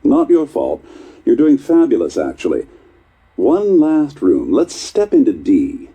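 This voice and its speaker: Video game scientist: dark, husky timbre, lively pitch, chatty, patronising encouragement to a test subject. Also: male voice, measured pace, exaggerated voice. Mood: breezy, encouraging. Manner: patronising, encouraging, hesitant asides. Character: chatty, distracted male scientist running tests on a subject. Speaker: test supervisor. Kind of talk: game voice acting.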